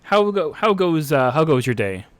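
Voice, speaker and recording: A man's voice: a little high, big pitch swings, normal speed, dry recording, pitched above the performer's own voice. A man voicing a friendly, chatty female elf barkeep, talking with many fillers. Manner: hesitant, lots of fillers.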